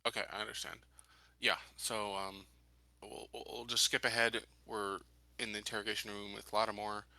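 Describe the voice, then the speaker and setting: A man playing a tabletop game, talking casually and haltingly as he lays things out and seeks clarification. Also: fairly low pitch, flat delivery, vocal fry, bright, forceful tone, no echo. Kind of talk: casual game-table talk with in-character questioning.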